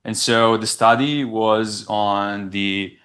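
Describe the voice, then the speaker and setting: A young man from Serbia, giving a conference presentation in a halting way, often restarting and repeating words. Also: on the low side, steady tone, fairly bright tone. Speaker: research presenter.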